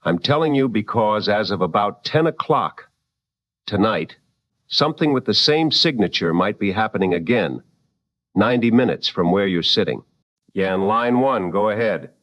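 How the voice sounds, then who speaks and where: Late-night radio drama host played by a man: deep, deliberate, serious, slightly muffled band-limited sound. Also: lively intonation, lightly acted. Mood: grave, intriguing. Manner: deliberate, measured, dramatic phrasing.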